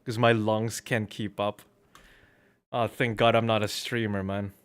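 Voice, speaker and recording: A man's voice: lowish voice, little pitch movement, unhurried, clear, resonant tone. A man chatting casually on a livestream, rambling and swearing freely. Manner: profane, rambling. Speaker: streamer.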